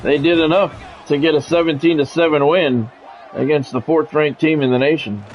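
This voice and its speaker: A man with a fairly high voice commentates a sports video game in a casual, chatty way. He slips between announcer-style calls and off-the-cuff musing. Faint music plays behind him. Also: steady tone, normal speed, poor audio. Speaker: streamer and play-by-play commentator.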